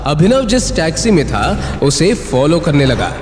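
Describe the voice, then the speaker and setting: A man's voice: on the high side, sing-song, unhurried, background noise. A man narrating a scripted audio drama in a suspenseful, theatrical storytelling style. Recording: echoey reverb, music underneath.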